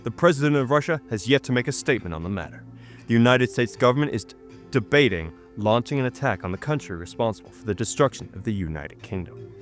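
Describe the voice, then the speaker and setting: A man's voice: medium pitch, animated, fast, faint music behind, lightly acted. A young man playing a grave, urgent news announcer, reading a formal and emphatic radio bulletin. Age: young adult.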